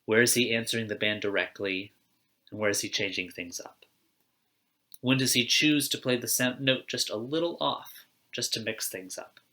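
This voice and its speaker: Bright-voiced man giving a conversational sermon. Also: medium pitch, steady tone, normal speed. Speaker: church speaker giving a reflection. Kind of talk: conversational sermon on a personal theme.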